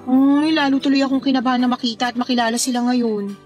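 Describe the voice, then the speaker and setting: A woman's voice: high voice, fairly even intonation, quick pace, a little mellow, faint music behind, close to an everyday voice. An actress playing a sweet, accommodating young Filipino woman, a shy girlfriend, in a radio drama. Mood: nervous, impressed.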